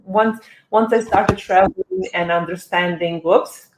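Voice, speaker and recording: A woman's voice: on the low side, fairly even intonation, a little slow, noisy background. A woman from Serbia, a guest talking earnestly and often restarting her sentences. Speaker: guest, event organizer. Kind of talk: casual interview talk. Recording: echoey room.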